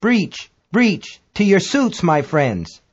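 A man's voice: high-pitched, sing-song, takes it slowly, breathy edge, muffled recording, pitched above the performer's own voice, heavily acted. A man voicing a frightened Chinese spaceship crew member for an audiobook. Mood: urgent alarm.

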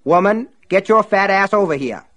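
A man's voice: on the high side, sing-song, normal speed, pitched above the performer's own voice. A brutal, bullying husband sneers and bellows orders, his voice pushed up and hard, as a man reading an audiobook puts him on. The delivery rides up and down with menace. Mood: raging. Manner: snarling, sneering, shouting. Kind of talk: audiobook narration.

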